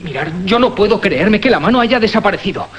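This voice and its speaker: High-pitched, argumentative young male student. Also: animated, fast talker, a bit bright. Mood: defiant. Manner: argumentative, emphatic. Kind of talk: radio drama acting. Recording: dull, slightly noisy radio drama recording.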